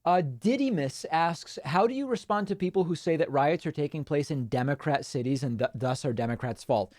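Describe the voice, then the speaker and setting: A man's voice: fairly high pitch, expressive, brisk, darkish tone, no echo, clean studio sound. A man from Argentina, a native Spanish speaker, hosting a political livestream and casually reading viewer comments aloud with frequent ums and uhs.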